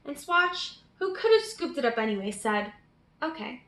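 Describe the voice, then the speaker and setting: A woman's voice: high voice, sing-song, normal speed, sharp tone. A woman reading a picture book aloud expressively for young children, engaging them as she goes. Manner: prompts young listeners with questions. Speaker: children's storybook reader. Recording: roomy, echoey sound.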